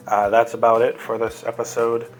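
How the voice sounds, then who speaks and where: A man's voice: mid-range voice, monotone, moderate pace, faint music behind. A man hosting a casual, unscripted toy review, talking in a rambling, easygoing way as he handles the item. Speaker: toy reviewer and host of the show.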